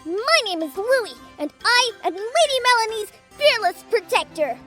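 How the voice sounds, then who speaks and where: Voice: very animated, a little slow, pushed and bright, faint music behind, full character voice. An actor voicing a small, earnest boy protector, formal and eager, in an audio fantasy drama. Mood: proud, indignant. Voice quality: small, high childlike voice. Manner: formal, eager, a little flustered.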